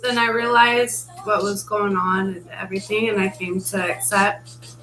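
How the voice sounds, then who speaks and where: A woman's voice: mid-range voice, fairly even intonation, measured pace, poor audio. A woman, the host's wife, giving brief matter-of-fact answers in casual chat. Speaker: spouse of the host.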